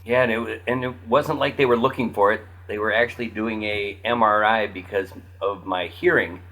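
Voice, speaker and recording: A man's voice: on the low side, steady tone, moderate pace, pushed and bright, soft background music, rough recording. A man chatting casually as a podcast guest in a dry, matter-of-fact way. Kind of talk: casual chat.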